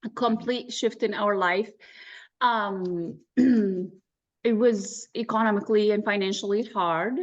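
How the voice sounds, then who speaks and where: A woman's voice: mid-range voice, fairly even intonation, takes it slowly. Woman from Iraq, slightly dull audio. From Baghdad, Iraq. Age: 30s to 40s. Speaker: interview guest, real estate agent. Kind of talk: personal storytelling in a casual interview.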